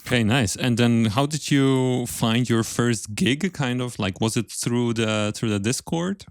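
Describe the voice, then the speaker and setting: A man with a medium-pitched voice hosts a podcast interview. His delivery is casual and conversational, and the sound is dry and close. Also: unhurried, clean tone, no echo.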